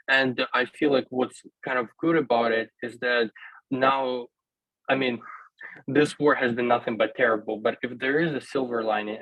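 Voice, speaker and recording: A man's voice: medium pitch, no room sound. A man in his twenties from Ukraine, a native Russian speaker, answering on a video-call panel in a hesitant way, with many fillers and restarts. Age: 20s. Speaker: panel guest. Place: small room indoors. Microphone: laptop webcam video call. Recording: dull lo-fi video call audio.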